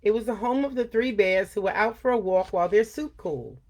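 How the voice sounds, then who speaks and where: An older woman hosting a storytime, speaking earnestly and deliberately, chatting informally and reading aloud for children. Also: medium pitch, darkish tone.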